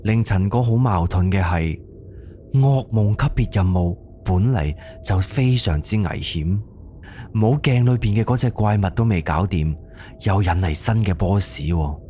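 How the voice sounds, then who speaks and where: A man's voice: fairly low pitch, a little slow, warm tone, slight background noise. A man with a Cantonese accent narrating a horror mystery audio drama in a dramatic storytelling style.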